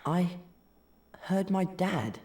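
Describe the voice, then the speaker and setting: A man's voice: high voice, expressive, drawn-out words, a little mellow, exaggerated voice. A male actor playing an earnest, eager schoolboy wizard hero in a video game, speaking in a keen, straightforward way. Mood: hesitant, curious. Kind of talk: video game cutscene acting.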